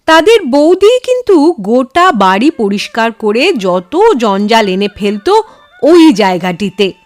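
A woman narrates a story in a high, very animated voice. She takes her time over each phrase with measured, dramatic delivery. The recording is close and dry. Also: no room sound, soft background music. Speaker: storyteller, channel host. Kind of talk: expressive scripted story narration.

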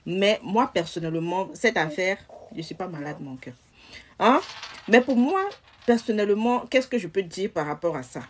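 A woman's voice: mid-range voice, animated, normal speed. A young woman giving emphatic casual commentary to her viewers into a phone camera. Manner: emphatic, addresses viewers directly. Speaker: social media commentator. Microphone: phone camera close up.

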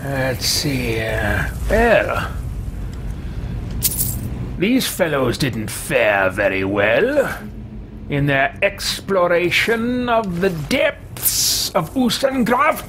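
A man's voice: on the high side, very animated, background noise, heavily acted. A man roleplaying a haughty, megalomaniac evil sorcerer for a video game, speaking in a pompous, theatrical and contemptuous way. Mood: smug, theatrical. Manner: pompous, theatrical, slow deliberate phrasing. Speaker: streamer. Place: small home room with shelves, indoors. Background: video game ambience.

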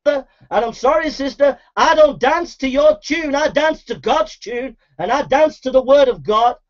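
A man's voice: very high voice, expressive, a little slow, highs cut off. A man preaching a fiery, confrontational sermon, scolding his listeners with urgent, repetitive calls to repent.

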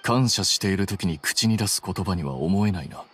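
A man playing an aloof, self-assured intellectual in a Japanese game, cool, deadpan and composed. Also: low-pitched, moderate pace, slight background noise. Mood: dry, detached.

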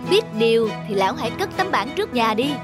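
An actor playing a proud, hot-tempered middle-aged court official in an animated folk tale, boastful and scornful. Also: male voice, very high voice, fast. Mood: haughty. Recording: music under the dialogue.

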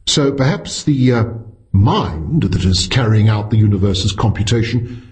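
Man acting a flustered, halting male professor. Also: fairly low pitch, animated, a little mellow. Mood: begrudging. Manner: halting, stretched words.

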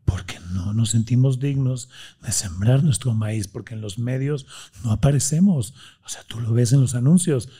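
A man's voice: mid-range voice, dark tone, slightly rough. A man in his thirties with a Mexican accent, a guest speaking passionately in a studio interview with a desk microphone. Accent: Mexican Spanish. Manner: passionate, gestures a lot, lists things rapidly. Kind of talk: animated interview conversation. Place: studio with blue-lit curtains. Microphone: studio mic on a desk stand.

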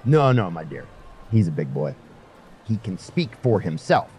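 A man's voice: deep voice, very animated, measured pace, a little mellow, slight background noise. A man playing a menacing, folksy drifter in a sci-fi audio drama, talking in a taunting way.

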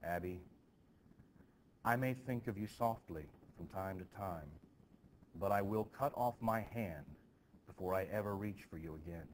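A man playing a stern, gruff farmer in a stage play, firmly scolding and resisting temptation. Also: low-pitched, unhurried, long pauses, a little hiss or hum. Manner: firm, scolding dramatic reading.

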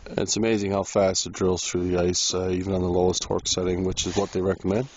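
A man casually narrating an ice-fishing trip, halting with many fillers, recorded outdoors on a frozen lake. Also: low-pitched, completely flat delivery. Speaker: outdoor vlogger. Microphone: handheld camera mic. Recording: wind noise on a lo-fi camera mic.

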